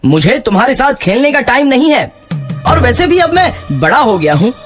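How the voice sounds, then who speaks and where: A pushy young villager , played by a man, from a children's cartoon fable. The voice is high and very lively, whining and demanding, with light music behind it. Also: moderate pace, heavily acted. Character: self-centred, demanding young male villager. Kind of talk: children's moral cartoon story dialogue.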